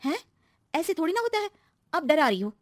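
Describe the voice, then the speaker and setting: Woman playing an excitable, high-voiced young wife. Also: brisk, dry recording, clean studio sound. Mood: worried, defensive. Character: excitable young married woman, chatty, easily aggrieved. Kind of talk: acted story dialogue.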